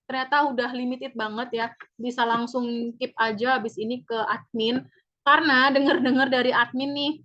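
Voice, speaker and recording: A woman's voice: high voice, fairly even intonation, normal speed, bright, forceful tone. Chatty woman trainer on webinar. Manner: chatty, upbeat, repeats key points. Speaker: public speaking trainer. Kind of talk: webinar sales pitch. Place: online webinar. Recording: dull lo-fi webinar audio.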